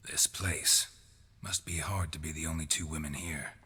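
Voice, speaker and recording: Grim game mercenary: extremely low, whispery gravel, terse and insistent, in a reverberant hall. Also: male voice, fairly even intonation, normal speed, bright, forceful tone, exaggerated voice. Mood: probing. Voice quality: very deep, gravelly, breathy rasp. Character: grim, terse male hunter for hire, searching. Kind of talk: video game character acting.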